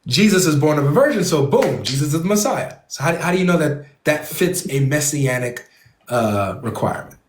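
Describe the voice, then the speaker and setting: A man's voice: on the high side, big pitch swings, moderate pace, roomy sound. A man hosting an online debate panel who presses his point with repeated, probing questions. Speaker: debate host.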